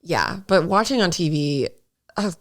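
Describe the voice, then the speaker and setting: A woman's voice: fairly low pitch, expressive, unhurried. A young American woman co-hosting a podcast, chatting casually in blunt, emphatic slang. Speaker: podcast co-host. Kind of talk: casual chat.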